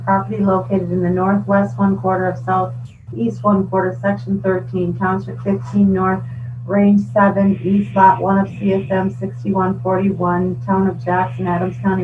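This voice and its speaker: A woman reads legal records formally, item by item, as a meeting clerk. Her delivery stays very even and unvaried. She sounds set back from the mic, slightly dulled, over clear noise. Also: mid-range voice. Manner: procedural, recites legal descriptions item by item. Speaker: county clerk. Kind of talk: formal reading aloud of ordinances. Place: government board meeting. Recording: muffled, distant mic with loud hum.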